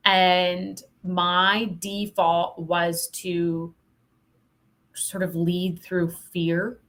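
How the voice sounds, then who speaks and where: Lower-pitched woman, candid and reflective. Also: level tone, drawn-out words, a bit bright. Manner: frank, reflective, frequent "like". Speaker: podcast guest, sales coach. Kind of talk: candid conversational storytelling.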